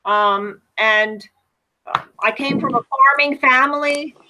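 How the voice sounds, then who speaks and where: An American woman with a loud voice that carries, chatting casually and telling anecdotes with emphasis on an online panel. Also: on the high side, slow pace, a little hiss or hum, low-quality recording. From United States. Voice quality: loud, projecting voice. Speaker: panel guest.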